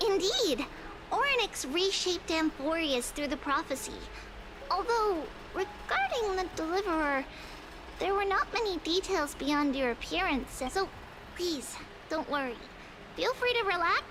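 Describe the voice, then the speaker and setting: Little girlish game seer, extremely high voice, gentle and formal, noisy background. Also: lively intonation, measured pace. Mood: courteous, reassuring. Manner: formal, reassuring. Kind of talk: video game voice acting.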